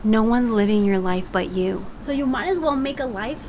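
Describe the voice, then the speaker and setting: A woman's voice: on the high side, animated, moderate pace, background noise. A woman in her thirties giving an emphatic, encouraging motivational talk, calling listeners honey and y'all. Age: 30s. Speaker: vlogger.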